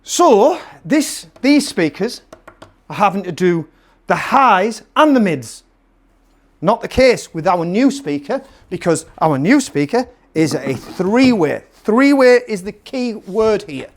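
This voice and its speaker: A man's voice: high voice, big pitch swings, takes it slowly. Emphatic man reviewing gear. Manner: emphatic, repeats phrases for effect, salesman-like. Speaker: presenter and seller of PA gear. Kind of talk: casual product review to camera.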